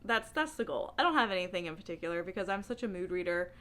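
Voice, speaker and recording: A woman talking in a casual, chatty book vlog style, with a giggly, animated and informal, rambling manner. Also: lowish voice, quick pace. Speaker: book reviewer vlogger.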